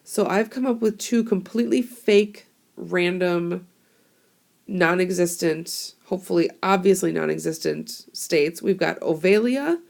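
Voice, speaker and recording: A young woman teacher sounds hoarse from a cold, and her voice has a gravelly, crackly edge that sits a little low. She explains in a casual, chatty way, with plenty of fillers, and she records into a tablet microphone. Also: a little slow, darkish tone. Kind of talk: classroom screencast lesson.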